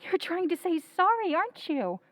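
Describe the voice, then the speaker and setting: A woman's voice: very high-pitched, big pitch swings, normal speed, no room sound. An actress playing a wary newlywed woman in an old-time radio drama, clipped and guarded in speech. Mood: warm. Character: wary newlywed woman, cool then warm. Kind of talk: 1940s radio acting.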